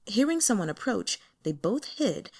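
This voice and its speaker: A woman's voice: medium pitch, big pitch swings, moderate pace, a little mellow, breathy edge. A woman reading fiction aloud as an audiobook narrator in a scripted storytelling style.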